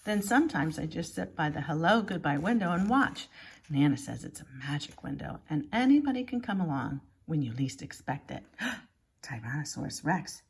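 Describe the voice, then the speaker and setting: A woman's voice: low-pitched, big pitch swings, normal speed, creaky, slight background noise. A woman reading a children's picture book aloud like a schoolteacher, expressively and with exaggerated emphasis.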